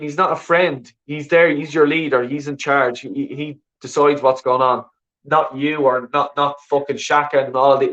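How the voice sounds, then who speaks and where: A man's voice: fairly high pitch, fairly bright tone. A man from Ireland with a Dublin accent, hosting a call-in show in a rambling, sweary casual chat full of "you know what I mean". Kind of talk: casual chat reading viewer comments. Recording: dull lo-fi sound.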